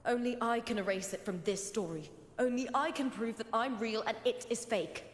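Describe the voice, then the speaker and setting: A woman voicing an earnest, determined young heroine in a video game, with a breathy voice. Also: a little high, moderate pace, long reverb tail, close to an everyday voice. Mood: solemn, resolute. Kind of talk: video game story dialogue.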